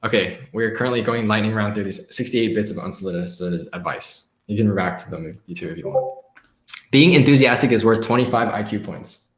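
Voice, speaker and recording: A man hosting a live stream, reading quotes aloud and reacting in a relaxed, upbeat way with frequent laughs. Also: on the low side, brisk, reverberant.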